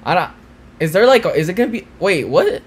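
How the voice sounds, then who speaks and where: Chatty young streamer, lively pitch. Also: male voice, a little fast, husky. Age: young adult. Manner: chatty, talks to viewers, trails off and restarts. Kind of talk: live reaction stream, casual chat. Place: small cluttered room with green screen.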